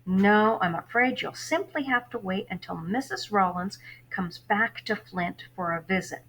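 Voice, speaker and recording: A woman's voice: medium pitch, measured pace, a bit bright, slight background noise, rough recording. A woman reading a children's novel aloud as a kindly, matter-of-fact librarian with precise, formal wording. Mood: regretful, firm.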